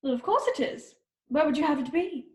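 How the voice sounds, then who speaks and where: A woman's voice: high-pitched, lively intonation, a little fast, roomy sound. A woman playing an imperious, scolding queen in a children's readers' theater performance. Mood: haughty. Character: bossy, haughty queen ordering a young girl about.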